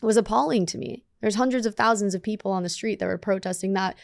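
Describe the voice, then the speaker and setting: A young woman whose first language was Russian, speaking earnestly and deliberately as a guest in a studio interview. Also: mid-range voice, gravelly, no room sound. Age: young adult. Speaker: guest, student leader. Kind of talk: conversational interview. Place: dark studio set with sofas. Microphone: studio mic on a boom arm.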